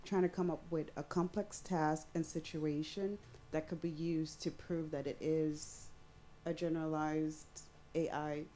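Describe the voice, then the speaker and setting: Female audience member, loud and earnest, lower register, rambling question. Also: a little hiss or hum. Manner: long-winded, earnest questioning. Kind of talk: question from the floor at a panel.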